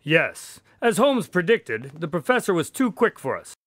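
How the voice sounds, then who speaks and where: An actor playing an affable, wry doctor sidekick who tells stories in a chatty, expressive, mildly exasperated way in a radio drama. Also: male voice, sits high, moderate pace, no room sound, clean studio sound, full character voice. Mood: genial storytelling. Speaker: narrator-character of a radio drama. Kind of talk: scripted radio drama narration and dialogue.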